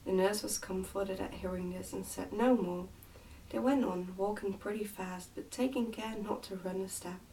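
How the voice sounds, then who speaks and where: A woman reading a children's storybook aloud as soft ASMR narration. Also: mid-range voice, fairly even intonation, moderate pace, distant mic, slight background noise, low-quality recording. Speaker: book reader on an ASMR channel.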